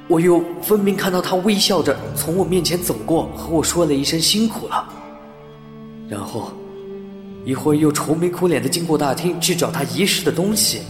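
A man's voice: a little high, lightly acted. A man playing a courteous, hesitant and easily puzzled building manager who also narrates a sci-fi radio drama. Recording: roomy sound with music underneath.

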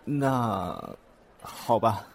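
A young man playing a caring, gently teasing but proud boyfriend in a Mandarin radio drama. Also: on the low side, extremely slow, a little hiss or hum. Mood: hesitant. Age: young adult.

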